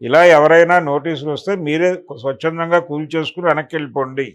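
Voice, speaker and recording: A man's voice: a little high, fairly even intonation, fast. A man giving extended spoken news analysis as a political commentator, explaining and recounting in detail.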